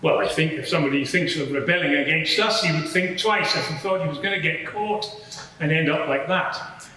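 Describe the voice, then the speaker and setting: Roman soldier witness, acted by a man, forceful bright tone, matter-of-fact, explanatory, formal inquiry drama, big reverberant courtroom. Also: a little high. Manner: measured, explanatory, slightly hesitant. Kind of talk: dramatised courtroom testimony before a live audience. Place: large courtroom in a public building. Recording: very echoey large hall.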